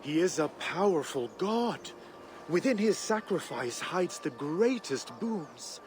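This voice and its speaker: A hearty Viking conqueror turned devout, speaks slowly in a slightly high male register. His delivery is reflective cinematic game acting, with faint ambient noise behind it. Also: sing-song. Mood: earnest, serene. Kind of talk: dramatic video game acting.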